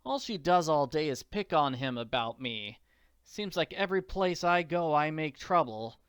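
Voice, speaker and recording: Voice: medium pitch, animated, normal speed, smooth, no room sound, clean studio sound, natural voice. A performer voicing an earnest, scrappy orphan girl from a comic strip, talking in folksy slang. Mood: forlorn.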